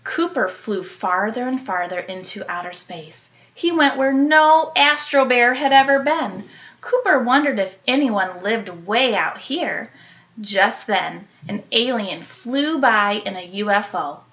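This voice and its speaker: A woman reading a children's picture book aloud like an elementary teacher, animated, with dramatic emphasis and playful sound-effect words. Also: fairly high pitch, measured pace, muffled recording.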